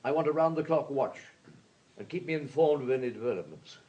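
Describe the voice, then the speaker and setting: A man acting a police superintendent in a radio play. He is authoritative and brisk, putting pointed questions and giving clipped orders in a medium-pitched voice. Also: slightly rough, muffled recording, close to an everyday voice. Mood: brisk, commanding. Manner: commanding, questioning, hesitant murmurs between orders. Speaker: detective superintendent.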